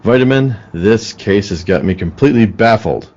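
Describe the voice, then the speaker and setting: An actor playing a serious, baffled police detective in an old-time radio play, speaking in a grave, deliberate way. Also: male voice, lowish voice, expressive, darkish tone. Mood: baffled, brooding. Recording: dull, lo-fi call audio.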